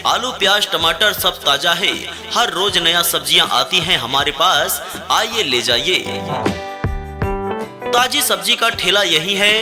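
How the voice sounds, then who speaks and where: A man delivering a scripted loudspeaker announcement for a street vendor, calling to passers-by in a repetitive sales pitch. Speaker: street vegetable seller announcer.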